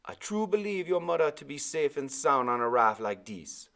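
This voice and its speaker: A man voicing a huge, very old West Indian deckhand, gentle and reassuring in folksy dialect, with a soft musical lilt. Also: on the high side, sing-song, moderate pace, gravelly, pitched above the performer's own voice, exaggerated voice.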